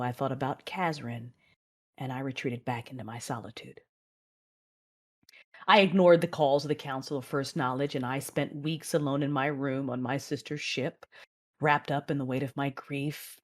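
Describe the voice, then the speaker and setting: A woman playing a grieving female Jedi and mother, narrating her own tragedy in an emotive, measured audio drama style. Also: a bass voice. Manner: emotive, measured storytelling with anguished dialogue.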